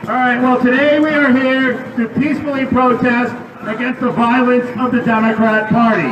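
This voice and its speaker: A man delivering a loud protest speech at an outdoor rally, firing questions at the crowd. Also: very high-pitched, level tone, moderate pace, dull audio, background noise. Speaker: rally speaker. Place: outdoor city square. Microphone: public address system heard from a distance. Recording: echoey outdoor PA from far off.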